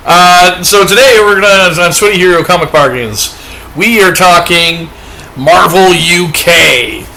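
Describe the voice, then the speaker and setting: A man's voice: high-pitched, unhurried, vocal fry, sharp tone, slight background noise. Rambling, upbeat Canadian man, echoey room. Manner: rambling and upbeat, with many fillers. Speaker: comic book reviewer. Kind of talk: casual show-and-tell chat.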